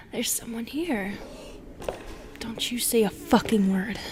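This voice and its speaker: Medusa, female monster woman performer: lonely, bitter, seductive. Intimate audio drama, breathy half-whispers, unhurried and dark-tempered, some noise behind. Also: fairly high pitch. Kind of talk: intimate audio roleplay acting.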